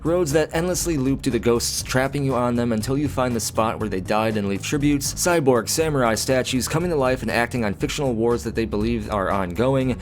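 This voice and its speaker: A man's voice: medium pitch, fairly quick, few pauses, slight background noise. Earnest man rambling about gaming news. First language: English. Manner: earnest, rambling and repetitive, reads out leak text. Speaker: gaming news channel host. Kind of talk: casual commentary on gaming news.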